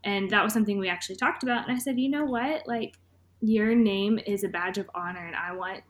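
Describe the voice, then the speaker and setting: Podcast guest: Tennessee woman, mid pitch, earnest and heartfelt delivery. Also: a little fast. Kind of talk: personal conversation.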